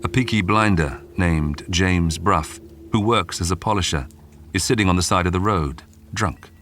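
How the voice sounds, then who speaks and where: A man reading scripted true-crime narration in a measured, dramatic way with suspenseful pauses. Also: deep voice, a bit bright, slight background noise. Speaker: documentary narrator. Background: background music.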